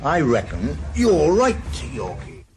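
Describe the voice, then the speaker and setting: An actor playing Morgan, a retired Welsh ex-detective who talks tersely and stubbornly, in a 1980s radio drama. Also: male voice, huge pitch swings, takes it slowly, a little mellow, heavily acted. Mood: brooding. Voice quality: terse, low-key, stubborn. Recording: radio drama with traffic noise.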